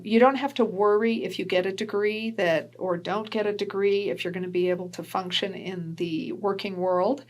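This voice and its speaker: A woman from New Hampshire hosting an interview, chatty and encouraging with frequent affirmations. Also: mid-range voice. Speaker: host.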